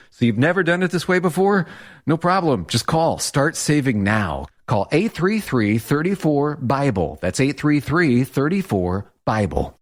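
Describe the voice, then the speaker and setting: A man delivering a scripted advertisement in a conversational style. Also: mid-range voice. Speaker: advertising announcer.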